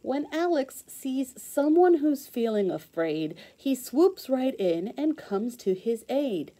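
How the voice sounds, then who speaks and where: Slow, expressive woman reading to kids. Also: high voice. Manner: expressive, sing-song rhymed reading with clear emphasis. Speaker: teacher reading aloud to kids. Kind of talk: children's storybook read-aloud.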